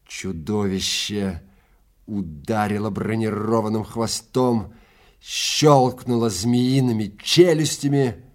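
A man narrating a story in a theatrical, deliberate manner with dramatic pauses. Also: lowish voice.

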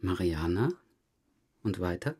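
An actor playing a patient, probing police detective in a crime drama, controlled and deliberate in his questioning. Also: deep voice, expressive, a little mellow, husky, heavily acted. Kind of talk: German crime radio drama dialogue.

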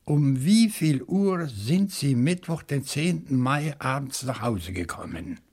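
An actor playing a bookish, lonely older French bachelor in a German radio crime play, probing and deliberate in manner. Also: male voice, medium pitch, expressive, a little mellow, slightly rough, full character voice. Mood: probing, careful. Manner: deliberate, thoughtful, with hesitant pauses.

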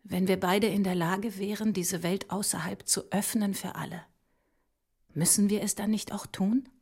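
An actress playing a visionary woman mathematician, solemn and urging, with husky, breathy speech in a poetic audio drama. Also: medium pitch, steady tone, moderate pace, darkish tone. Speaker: performer in an experimental audio drama. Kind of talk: poetic scripted dialogue.

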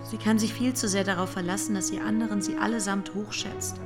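Woman playing a shy, modest young gentlewoman. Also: medium pitch, steady tone, fairly quick, loud music behind the voice, lightly acted. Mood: uneasy. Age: young adult. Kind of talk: radio drama acting.

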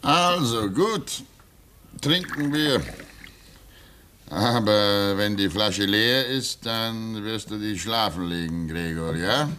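An actor playing a calm, fatherly older man at a polar station, speaking gently and cautiously. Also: fairly low pitch, slow, a little hiss or hum. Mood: soothing. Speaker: radio drama actor.

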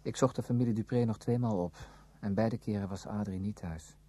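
A male actor as a brooding man burdened by guilt, with a low, mellow voice. He speaks in a measured, inward, hesitant way, as in a confessional radio play. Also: natural voice. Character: brooding, guilt-ridden man narrating his past, protective. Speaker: narrator and lead character. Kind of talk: Dutch radio drama acting, confessional narration.

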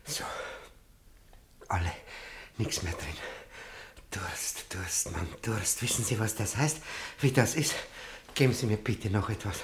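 An actor playing an obsessed, thirst-tormented prisoner who is losing his mind, in an intense, feverish radio drama performance with halting repetitions. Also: fairly low pitch, expressive, a little hiss or hum. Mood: begging, parched. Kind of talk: German radio drama monologue and dialogue.

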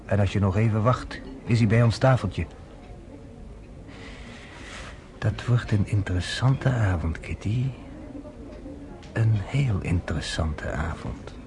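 A man's voice: on the low side, unhurried, darkish tone, close to an everyday voice. An actor playing a curious, wry newspaperman in a radio play, with a casual, probing way of talking. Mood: wry, intrigued. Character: curious, wry newspaperman, sceptical but game.

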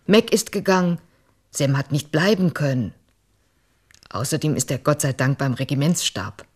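Low-voiced, insistent proud wife. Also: female voice, expressive, moderate pace, darkish tone, slightly rough. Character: proud, insistent wife and mother lecturing her husband. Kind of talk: literary radio drama acting.

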